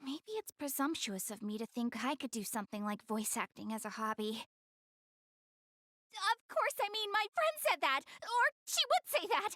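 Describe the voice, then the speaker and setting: A woman's voice: sits high, big pitch swings, normal speed, sharp tone, no echo, studio quality, exaggerated voice. A woman voicing a shy, prideful young goddess girl in an English-dubbed anime game, flustered and earnest but a little haughty.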